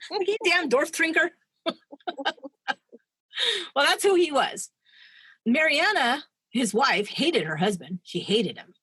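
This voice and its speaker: A woman's voice: high-pitched, wildly swooping pitch, slow, pauses often, sharp tone, no room sound. A woman co-hosting a podcast, retelling a true-crime story in a chatty, digressive way and laughing at her own asides. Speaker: podcast co-host.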